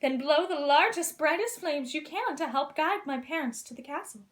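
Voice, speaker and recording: Woman playing young princess, high voice, kind, caring, earnest, encouraging, expressive, children's storybook. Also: moderate pace. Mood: urgent, encouraging.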